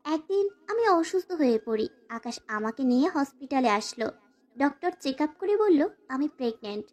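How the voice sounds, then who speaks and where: A woman's voice: high-pitched, very animated, normal speed, darkish tone. A woman voicing a timid, sorrowful young wife who narrates a dramatized romantic story and pleads submissively. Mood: sorrowful narration. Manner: formal, submissive address to her husband.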